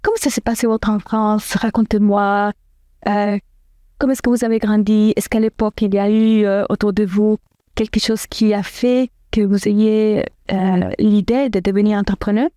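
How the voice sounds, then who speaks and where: A woman hosting a podcast interview, asking earnest questions with some filler pauses. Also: medium pitch, fairly even intonation, dark tone, dry recording, clean studio sound. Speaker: podcast host.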